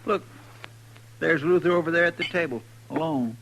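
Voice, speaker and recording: Folksy deputy sidekick, rural American, higher-pitched, earnest and deferential, vintage radio western. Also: male voice, moderate pace, vocal fry, noisy background. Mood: mild.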